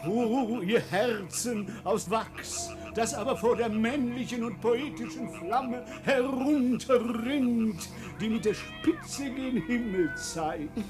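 An actor playing a pompous, hypocritical seducer who woos in affected, swooning declamation in a radio play. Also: male voice, very high voice. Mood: tearful rapture. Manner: affected, swooning, drawn-out grandiloquence. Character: pompous, hypocritical male seducer posing as poet. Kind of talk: German radio play, mock-romantic declamation. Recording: prominent music under the voice.

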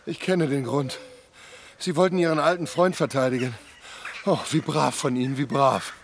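An actor in a radio drama playing an effusive, sentimental middle-aged gentleman with a gushing, theatrical manner. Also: mid-range voice, steady tone, normal speed, slight background noise, close to an everyday voice. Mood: moved, gushing. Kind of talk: German radio drama acting.

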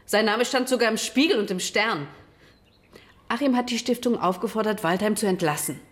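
A middle-aged woman doctor in a radio drama, played by an actress, sounding bitter and guarded. She talks in a measured, naturalistic way with cool disdain, at an ordinary medium pitch. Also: lightly acted. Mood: cool, indignant.

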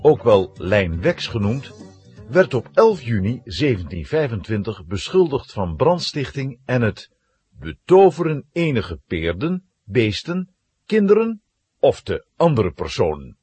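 A man's voice: medium pitch, very animated, a little slow, darkish tone, slightly rough, soft background music. A man narrating a children's audio drama as a dramatic storyteller.

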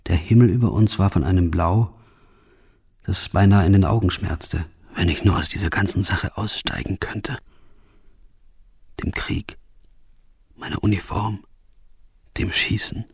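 An actor playing a reluctant, disillusioned soldier, narrating a radio drama monologue in a quiet, resigned, brooding manner. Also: male voice, low voice, unhurried, creaky, pauses often, breathy edge, heavily acted. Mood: weary, longing. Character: reluctant, disillusioned soldier, former locksmith, male, weary.

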